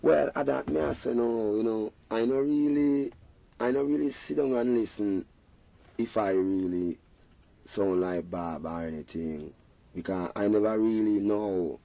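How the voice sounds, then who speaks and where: A man's voice: fairly low pitch, a little slow, gravelly, warm tone, no room sound. A Jamaican man, a reggae singer being interviewed, talking in an earnest, rambling way with patois phrasing. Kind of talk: casual interview talk.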